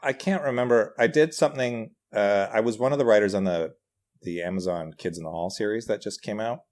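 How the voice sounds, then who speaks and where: A middle-aged man with a deep voice speaks as a guest in a relaxed conversation. He is self-deprecating and hesitant, often circling back as he tells a personal story. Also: brisk. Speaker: podcast guest, writer and comedian. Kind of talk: casual conversational anecdotes.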